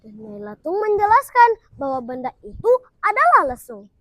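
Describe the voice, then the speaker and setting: A woman's voice: high voice, big pitch swings, moderate pace, no echo, studio quality. A primary school girl telling a folktale in a dramatic, declamatory style. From Indonesia. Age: child, primary school pupil. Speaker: student storyteller. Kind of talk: theatrical folktale storytelling.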